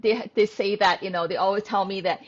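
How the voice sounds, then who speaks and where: A woman's voice: medium pitch, fairly even intonation, a little fast, lo-fi sound. A woman hosting a casual livestream, rambling along with plenty of fillers and restarts. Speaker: livestream host. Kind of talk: casual live-stream chat.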